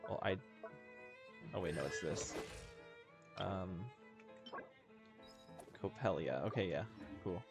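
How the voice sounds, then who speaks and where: Low-voiced man chatting on a gaming stream. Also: slow, vocal fry, pauses often. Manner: slangy, joking, self-deprecating. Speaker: livestreamer playing a video game. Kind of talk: casual gaming livestream chat. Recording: game music behind the voice. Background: video game music.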